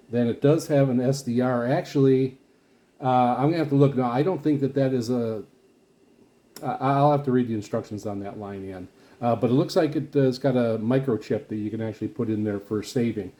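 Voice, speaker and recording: A man giving casual, unscripted product commentary as a video host, rambling haltingly and often repeating and restarting his phrases. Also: medium pitch, warm tone. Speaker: video host reviewing radio gear.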